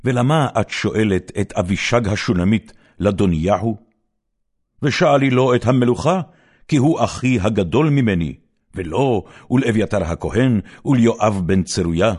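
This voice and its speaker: A man with an Israeli accent voicing a stern, commanding young king of ancient Israel in a solemn, forceful scripture reading. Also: fairly low pitch, animated, breathy edge, voice pushed up. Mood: indignant, angry.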